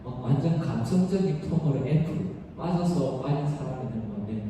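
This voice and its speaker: A man's voice: mid-range voice, lively intonation, moderate pace, mellow. A man preaching a conversational, informal sermon as a pastor, blunt and slangy, often asking rhetorical questions. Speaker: pastor preaching to young people. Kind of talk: conversational sermon with acted-out quotes. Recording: echoey hall, distant mic, faint noise.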